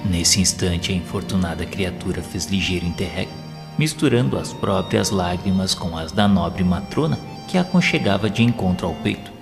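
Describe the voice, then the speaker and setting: Low-voiced Brazilian man narrating with long reverb. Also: lively intonation, moderate pace, creaky. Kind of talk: scripted literary narration for a spiritist audio novel. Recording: long reverb, prominent background music. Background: music.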